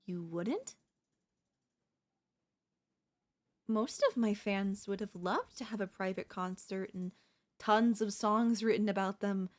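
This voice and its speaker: A woman playing an obsessive female pop idol turned kidnapper in an ASMR roleplay, speaking in a soft, coaxing, doting way with menace underneath. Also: mid-range voice, unhurried, close to an everyday voice. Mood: sweet, coaxing.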